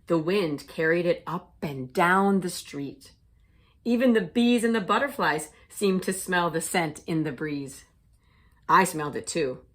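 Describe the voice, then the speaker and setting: A woman teacher reading a children's storybook aloud with deliberate, expressive phrasing for young listeners. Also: fairly low pitch.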